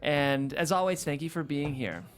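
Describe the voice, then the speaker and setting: A man's voice: a little high, moderate pace. A man hosting a casual talk podcast, speaking in a complimentary, encouraging way. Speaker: host, writer.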